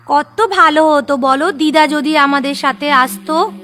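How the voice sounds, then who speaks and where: A woman's voice: high-pitched, measured pace, sharp tone, faint music behind. A woman playing a fussy, affectionate college-age daughter, girlish and plaintive, in an audio story. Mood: wistful.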